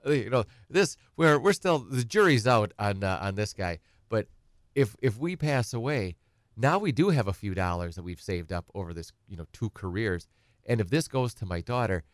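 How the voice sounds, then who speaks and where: Low-voiced Midwestern man explaining casually. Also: expressive, moderate pace, no echo, clean studio sound. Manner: rambling, voices clients' questions in examples. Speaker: estate planning attorney guest. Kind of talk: conversational talk show explanation.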